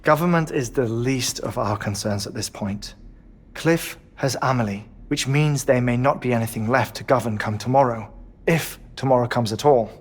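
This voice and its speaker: A man playing a grave, deliberate scientist in a video game cutscene, speaking formally and with measured phrasing. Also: medium pitch, a little hiss or hum, natural voice. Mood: grave, urging. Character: grave, deliberate male scientist advising the hero.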